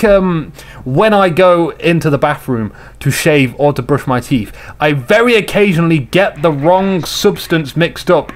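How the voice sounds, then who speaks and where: A man casually commentating on a game replay, slightly hoarse, rambling and joking with his viewers. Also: a little high. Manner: rambling, jokey, chats to viewers.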